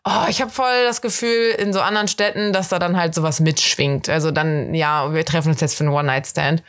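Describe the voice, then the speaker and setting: A young woman from Germany, hosting a podcast, telling a story in casual, chatty fashion and acting out the quoted bits of dialogue. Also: fairly quick. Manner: chatty and animated, voices quoted dialogue with big pitch jumps. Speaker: podcast host.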